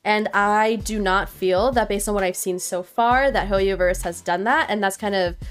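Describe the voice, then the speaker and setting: A woman's voice: normal speed, clear, resonant tone. A young woman from Nepal, a student, talking earnestly to her viewers in a casual opinion vlog, filling her sentences with "like". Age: young adult. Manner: earnest, heavy use of "like", animated pitch jumps. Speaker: vlogger. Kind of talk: casual opinion talk to camera. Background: faint background music.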